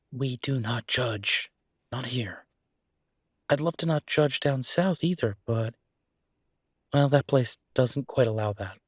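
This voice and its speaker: A man playing an easygoing, passionate restaurateur boyfriend in a conversational roleplay audio drama, husky and chatty with emphatic repetition. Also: fairly low pitch, no echo, clean studio sound. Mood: playful, affable.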